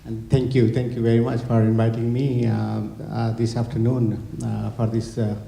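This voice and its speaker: Man from Nepal, hesitant with many fillers, dark gravelly voice, echoey hall. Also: mid-range voice, flat delivery, normal speed. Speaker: honorary consul general and college owner. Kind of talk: halting speech to a gathering.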